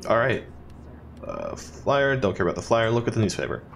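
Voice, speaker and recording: A man's voice: fairly low pitch, huge pitch swings, normal speed, vocal fry, a little hiss or hum. A young man giving casual video game commentary as a let's play host, rambling with wry asides.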